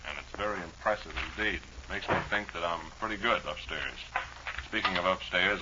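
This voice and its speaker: A man's voice: sits low, highs cut off, noisy background. A man hosting a 1940s live radio show, chatting and reading listener mail in a rambling, dryly humorous, mock-serious way, on an old broadcast recording.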